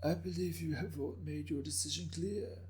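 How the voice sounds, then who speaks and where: A man voicing an ancient Japanese daimyo with a raspy voice, speaking in a measured, slyly amused way for a dramatic story reading. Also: a little high, fairly even intonation, a little mellow, voice pushed up, natural voice, poor audio. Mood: wry, composed. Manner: measured, understated.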